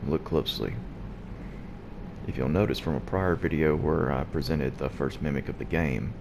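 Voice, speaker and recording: Very deep, gravelly, hushed male gamer. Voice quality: deep and low. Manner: quiet, hushed so as not to wake the house. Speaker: let's play host. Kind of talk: gameplay commentary. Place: at home early in the morning. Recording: noisy background hiss.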